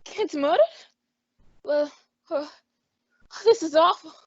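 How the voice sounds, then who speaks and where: A woman's voice: high-pitched, slow pace, a bit bright, no room sound, heavily acted. A young woman voicing a nervous, flustered man in an old-time radio drama, stammering as he talks. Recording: dry, slightly dull lo-fi sound.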